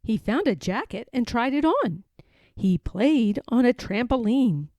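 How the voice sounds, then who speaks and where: A woman reading a children's picture book aloud in an expressive, sing-song way. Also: fairly high pitch, a little slow, no echo, clean studio sound. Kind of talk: storybook read-aloud.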